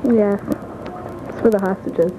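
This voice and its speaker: A young woman gives short, slightly shy answers to a reporter. Her pitch is medium and her wording simple, with clear noise around her on an old outdoor radio recording. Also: steady tone, a little slow. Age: young adult. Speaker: bystanders interviewed on the street. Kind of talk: brief unrehearsed interview answers. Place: outdoors at an airport.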